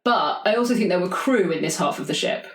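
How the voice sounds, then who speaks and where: A woman's voice: on the low side, lively intonation, brisk. A woman playing a tabletop game and talking casually in an echoing room. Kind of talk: casual improvised game chat. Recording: roomy, echoing sound.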